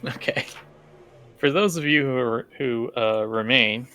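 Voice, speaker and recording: A man game-mastering a tabletop role-playing game, narrating off the cuff in a halting, conversational way. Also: mid-range voice, sharp tone, a little hiss or hum.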